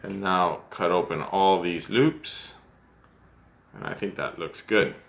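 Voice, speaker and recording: A middle-aged man with a very low voice that still has a bright, forward edge. He walks through a fly-tying demonstration in a small room, chatting easily, thinking aloud about each choice and poking fun at himself. The sound comes from a camera at desk distance. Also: slow pace, vocal fry. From United States. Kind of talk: relaxed step-by-step tutorial.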